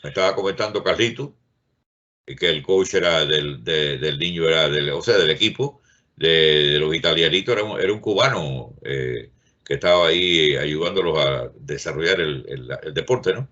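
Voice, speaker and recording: Male host, very low pitch, sharp bright tone, dull lo-fi sound, halting chat. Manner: affirming, filler-heavy, hesitant. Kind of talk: casual chat. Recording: dull lo-fi recording.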